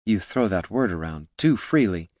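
A man's voice: low voice, big pitch swings, moderate pace, a little mellow, dry recording, studio quality. A man voicing a proud, dismissive woman who is curt and easily exasperated in an audiobook. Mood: scornful. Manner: curt, scornful.

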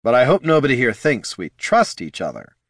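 A man voicing a wry, distrustful sorcerer assassin in dark robes, in an audiobook. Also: mid-range voice, huge pitch swings, fairly quick, breathy edge, no echo, clean studio sound, pitched above the performer's own voice, heavily acted.

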